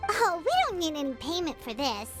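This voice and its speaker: A woman voicing a tiny, chatty, excitable female companion creature in an English video game dub, high and squeaky. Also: fairly quick. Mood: puzzled. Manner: animated, exclaiming and questioning. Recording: game audio with music underneath.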